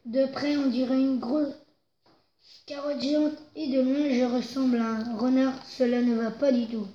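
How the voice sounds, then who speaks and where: A woman's voice: sits high, steady tone, moderate pace, warm tone, lightly acted. A woman voicing a big grumpy male wolf who is unhappy with his color, reading a storybook aloud. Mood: dismayed. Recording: roomy, slightly dull recording.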